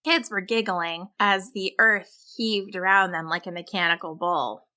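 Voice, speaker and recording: A woman reading a scripted children's story as a storyteller, with an expressive, deliberate delivery. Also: medium pitch. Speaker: storyteller, podcast host.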